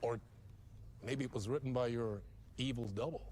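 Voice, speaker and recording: An actor playing a flamboyant TV talk show host in a game cutscene, over-the-top and effusive. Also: male voice, mid-range voice, steady tone, moderate pace, darkish tone, slight background noise, natural voice. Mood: knowing.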